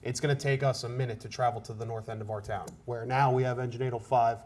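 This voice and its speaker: A man's voice: medium pitch, steady tone, fast talker, a little mellow. A man, a fire officer explaining things casually in an interview.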